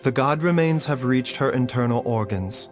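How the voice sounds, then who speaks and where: Game role, male actor: composed doctor, medium pitch, grave and gentle, formal measured delivery, light music underneath. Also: lightly acted. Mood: somber. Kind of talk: video game voice acting.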